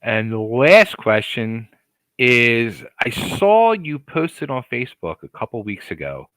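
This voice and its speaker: A man hosting a casual interview, enthusiastic and halting as he shapes his questions. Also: medium pitch, big pitch swings, a bit bright, no room sound, rough recording. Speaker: podcast host, interviewer.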